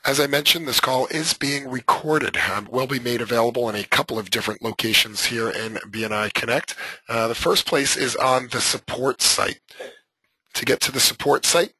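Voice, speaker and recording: A man hoarse from laryngitis, with a scratchy voice, presenting a live training webinar in a conversational, hesitant way with many filler sounds. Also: mid-range voice, bright, forceful tone, dry recording.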